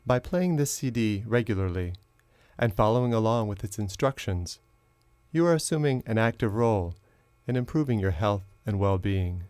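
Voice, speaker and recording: A man narrating a guided meditation as a teacher, in a slow, measured, instructional way with long pauses. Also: lowish voice, a little mellow, dry recording.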